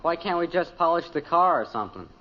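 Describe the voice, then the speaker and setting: An American actor playing a cheeky, evasive teenage son in an old-time radio family comedy, pleading and protesting. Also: male voice, a little high, expressive, fast talker, lightly acted. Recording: noisy, dull vintage radio recording.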